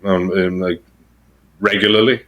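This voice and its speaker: A man in casual podcast talk, explaining things at length with many filler words and pauses. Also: low voice, fairly even intonation, a bit bright. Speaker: podcast guest. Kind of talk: casual conversation.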